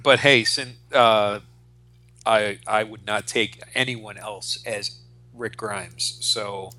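A man's voice: lowish voice, steady tone, slow, pushed and bright, slight background noise. A man in his forties co-hosting a casual pop culture discussion, speaking emphatically, repeating phrases for stress and swearing freely. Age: 40s. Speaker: podcast co-host.

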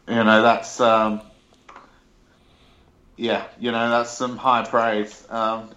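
A man's voice: fairly low pitch, level tone, very slow, bright, forceful tone. Man in casual chat, lo-fi sound. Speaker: football podcast panelist. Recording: slightly dull lo-fi audio.

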